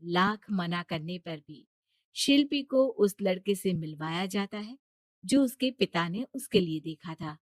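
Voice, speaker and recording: A woman's voice: fairly low pitch, animated, unhurried, no room sound, studio quality. A woman reading scripted narration for a moral cartoon story in a storyteller's style.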